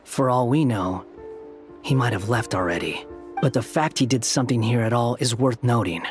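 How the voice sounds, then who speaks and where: A man's voice: medium pitch, normal speed, over music, lightly acted. A man playing an aloof, curt young scholar with a dry, dismissive manner in a video game. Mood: cool, curt. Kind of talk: scripted video game dialogue.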